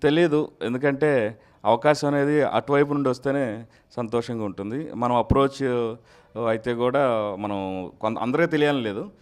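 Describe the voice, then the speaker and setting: A man's voice: mid-range voice, normal speed, pauses often. A man speaking conversationally as an interview guest, explaining his work. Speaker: guest, mimicry artist.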